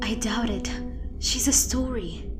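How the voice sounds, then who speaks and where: A woman playing a clever, quietly confident adventurer with a dry, knowing manner in an audio drama. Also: on the high side, takes it slowly, loud music behind the voice.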